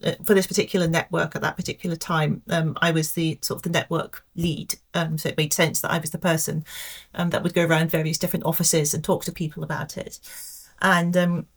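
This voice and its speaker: A woman in her forties with an English accent and a slightly low voice, speaking as a guest on a professional podcast. She answers carefully and reflectively, pausing often with soft hesitations as she works through her thoughts. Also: little pitch movement, husky. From Scotland. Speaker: pensions actuary, podcast guest.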